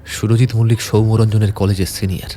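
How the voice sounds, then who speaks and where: A man acts out an anxious young friend in a crime audio drama. His voice sits on the low side, and he is earnest, loyal and pleading. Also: flat delivery, fast, warm tone, slight background noise, natural voice. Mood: earnest, urgent. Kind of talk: audio story acting.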